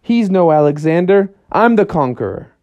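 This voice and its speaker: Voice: medium pitch, sing-song, normal speed, darkish tone, no echo, highs cut off, voice pushed up. A storyteller voicing a boastful male frog who calls himself a fearsome wrestling champion, in a bedtime story told to a child. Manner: swaggering, bragging.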